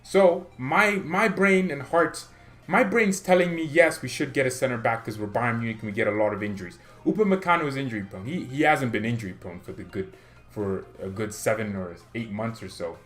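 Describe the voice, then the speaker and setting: A man's voice: medium pitch, expressive, a little fast, a bit bright. A young man hosting a fan channel, talking casually and opinionatedly, rambling with restarts and getting heated. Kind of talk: casual solo commentary.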